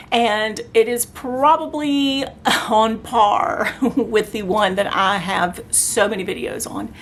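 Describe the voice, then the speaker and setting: A woman hosting a craft review vlog, chatty and enthusiastic, talking straight to her viewers while she is hoarse and losing her voice. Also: mid-range voice. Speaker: craft channel host.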